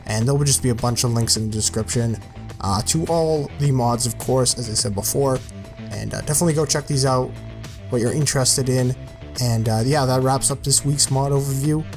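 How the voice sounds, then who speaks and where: A man with a mid-pitched voice hosts a gaming video in a casual, informal style. His commentary rambles along loosely like an offhand walkthrough. Music plays clearly behind him. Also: darkish tone. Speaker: video host presenting game mods.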